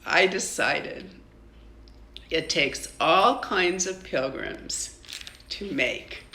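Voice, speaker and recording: An older woman, a grandmother, reading a children's story aloud in an expressive, deliberate way. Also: deep voice, gravelly, reverberant, slight background noise.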